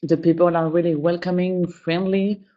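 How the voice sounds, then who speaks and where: A woman trainer from a travel company gives a webinar presentation in non-native English, often pausing and searching for words. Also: fairly low pitch, flat delivery, muffled recording.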